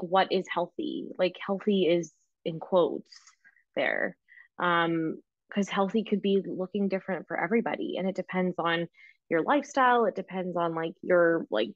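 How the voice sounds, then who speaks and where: A young woman speaking over a laptop microphone from a small room at home, her voice sitting a little low and slightly muffled. She talks in a loose, animated way, full of filler words, as a guest in a casual interview. The sound is close and dry. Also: no room sound. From Canadian prairies. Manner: animated, gesturing, many filler "like"s. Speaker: registered dietitian guest. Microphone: laptop microphone on video call.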